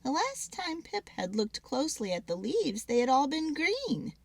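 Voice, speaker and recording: A woman giving an expressive, dramatic read-aloud of a children's story. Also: high voice, measured pace, vocal fry, no echo.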